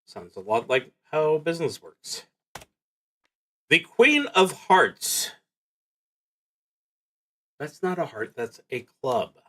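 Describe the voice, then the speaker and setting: Slow, unhurried man thinking aloud on stream. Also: on the high side, big pitch swings, bright, forceful tone. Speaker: streamer playing a solo tabletop roleplaying game. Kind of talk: live game stream, thinking aloud and reading prompts.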